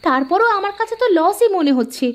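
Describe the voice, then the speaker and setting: A woman's voice: extremely high pitch, expressive, fairly quick. A woman voicing a wary, outspoken village housewife in a moral-story cartoon, pert and questioning. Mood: questioning, doubtful.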